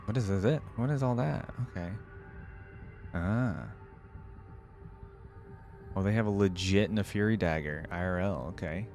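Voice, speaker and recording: A man's voice: deep voice, unhurried, long pauses, darkish tone. A man giving casual reaction commentary as a video host, thinking aloud as he goes. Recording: music playing behind the voice. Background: game theme music.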